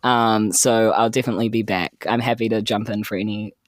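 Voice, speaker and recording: An earnest podcast player chats casually. The voice sits at a middle pitch in a very dry recording, with a self-deprecating manner. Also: steady tone, fairly quick, no room sound. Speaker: podcast player, arts postgraduate student. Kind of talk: casual, heartfelt chat.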